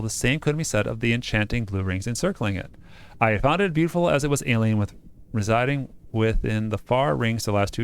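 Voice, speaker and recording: A man hosting a game playthrough, chatting casually in a halting way and reading text aloud. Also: medium pitch, smooth.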